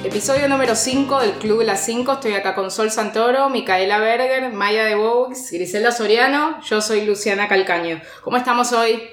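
A woman's voice: fairly high pitch, moderate pace, hardly any pauses, fairly bright tone, faint music behind. Argentine woman hosting, echoey sound. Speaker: radio show host. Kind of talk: casual film discussion. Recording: roomy, echoing sound.

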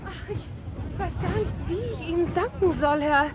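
A woman's voice: very high voice, moderate pace, crowd noise. An actress playing a flustered, effusive fine lady in a radio play, fluttery and gushing. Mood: flustered, grateful. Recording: faint outdoor vehicle noise.

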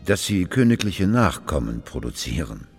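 A pompous old-world statesman speaks in a low voice that swings widely in pitch. He lectures and insists in a patronizing radio-play manner, with long hall reverb around him. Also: sing-song, normal speed, vocal fry, slightly rough, soft background music, heavily acted. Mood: insistent, lecturing. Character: patronizing male royal statesman pressing a queen to marry. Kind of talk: radio drama acting.

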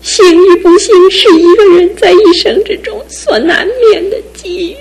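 High-voiced young woman in a radio drama, teary, earnest. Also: normal speed, gravelly, a little mellow. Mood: resolved. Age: young adult. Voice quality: tearful, earnest. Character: young woman engaged to an injured fiancé.

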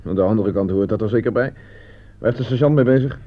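An actor playing a curt soldier manning a field telephone in a Dutch radio war drama. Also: male voice, on the low side, fast talker, lo-fi sound, natural voice. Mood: dry. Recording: noisy, thin, band-limited old radio recording.

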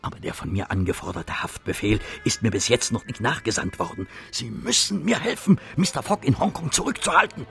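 An actor in a German radio play playing a scheming police detective who is insinuating, hesitant and then insistent. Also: male voice, mid-range voice, lively intonation, a little fast, gravelly, hardly any pauses, fairly bright tone. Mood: pressing. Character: wily, pushy male police detective, coaxing and scheming. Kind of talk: German radio drama acting.

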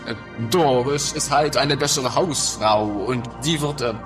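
A man playing a tactless lover in a comedy radio play, halting and matter-of-fact as he appeases. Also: mid-range voice, music in the background, close to an everyday voice. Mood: appeasing. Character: placating, tactless male lover pleading for calm. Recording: distant from the mic.

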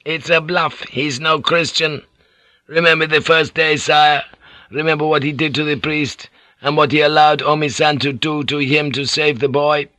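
A man's voice: on the high side, little pitch movement, moderate pace, sharp tone, no room sound, voice pushed up. A man voicing a skeptical samurai retainer, blunt and dismissive, in an audiobook story.

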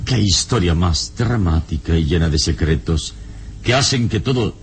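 An actor playing a calm, solemn adventurer hero, questioning in a measured, dramatic old radio serial style. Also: male voice, low voice. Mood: solemn, intrigued. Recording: noisy old radio serial recording, hum.

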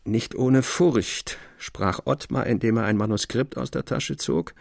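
A man narrating a literary radio drama in a measured, formal storytelling manner. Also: medium pitch, vocal fry, a little hiss or hum.

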